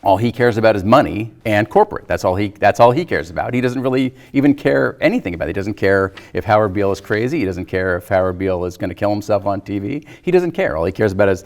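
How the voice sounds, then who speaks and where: Guy in his 50s chatting about movies, low voice but really animated, keeps restarting sentences. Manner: halting, repeats and restarts phrases, often chuckles. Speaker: guest discussing a film. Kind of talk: casual film discussion.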